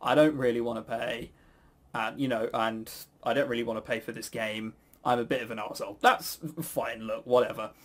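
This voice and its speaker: A man's voice: mid-range voice, fairly even intonation, brisk, a bit bright. A British man hosting a casual podcast, talking in a rambling, blunt, sweary way with frequent stammered false starts. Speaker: podcast host. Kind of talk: casual opinionated chat.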